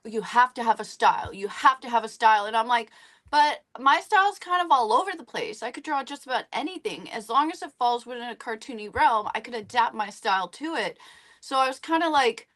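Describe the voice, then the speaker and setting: Middle-aged woman, higher-pitched voice, animated and chatty, talking about her own work as an interview guest. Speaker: interview guest, comic book artist. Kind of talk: casual interview chat.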